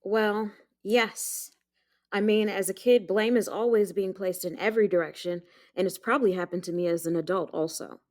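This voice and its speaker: An actress playing a composed detective witness who answers carefully and plainly in a courtroom audio drama. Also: female voice, medium pitch, steady tone, moderate pace, a little mellow, close to an everyday voice. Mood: cooperative.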